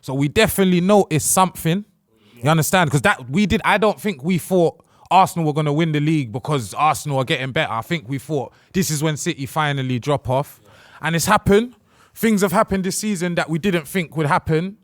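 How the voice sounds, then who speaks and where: A man hosts a football podcast, teasing the others and laughing between points. His voice sits a little high. The studio sound is close and dry, with no room echo. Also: a little fast. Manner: teasing, laughs often, talks over others. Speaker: podcast host.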